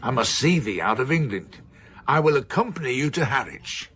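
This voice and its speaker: A man's voice: medium pitch, animated, normal speed, lightly acted. A man playing a learned, opinionated and teasing man of letters in a period radio drama, making emphatic, sententious pronouncements. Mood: fond. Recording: noisy ambience behind the voice.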